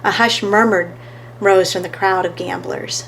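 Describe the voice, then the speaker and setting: A woman's voice: mid-range voice, fairly even intonation, unhurried, gravelly, fairly bright tone, a little hiss or hum, low-quality recording. A woman reading scripted narration of a children's story as a storyteller.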